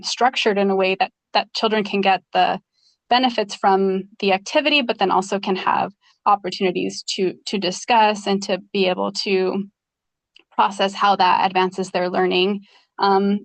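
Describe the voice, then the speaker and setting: A woman presents her research findings over a video call. She talks in a measured way, with frequent ums and small restarts. Her voice sits at a middle pitch and sounds dry and close. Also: dry recording.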